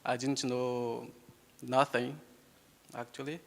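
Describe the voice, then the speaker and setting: Young Brazilian man, Yawanawa native speaker, halting public talk, slow delivery, long hall reverb. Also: mid-range voice, level tone, vocal fry. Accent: Brazilian Portuguese. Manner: halting, repeats words, laughs often. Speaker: invited speaker. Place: hall with an audience. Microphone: handheld microphone.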